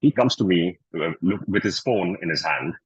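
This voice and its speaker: A man's voice: deep voice, lively intonation, moderate pace, slightly rough, no room sound, lo-fi sound. A man sharing a workplace anecdote at a club meeting, then inviting others to share their own stories. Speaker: meeting facilitator.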